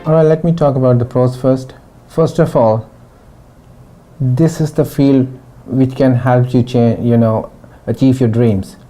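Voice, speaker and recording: A middle-aged man from Pakistan giving a testimonial interview about his training, talking in a halting way with frequent restarts. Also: medium pitch, fairly even intonation, warm tone, soft background music. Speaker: former student giving a testimonial.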